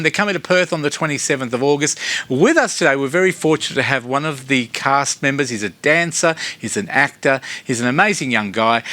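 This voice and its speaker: A man's voice: fairly high pitch, sharp tone. An Australian man hosting a community TV chat show, enthusiastic and chatty, reading his notes with small slips. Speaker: host. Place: school studio.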